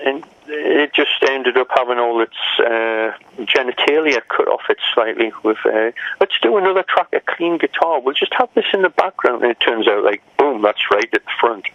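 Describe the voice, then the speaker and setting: A man's voice: mid-range voice, moderate pace, few pauses. An older man telling stories in a casual interview, often mimicking other people and laughing. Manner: lively storyteller who mimics others' voices and laughs often. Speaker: rock musician interviewee. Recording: dull lo-fi audio.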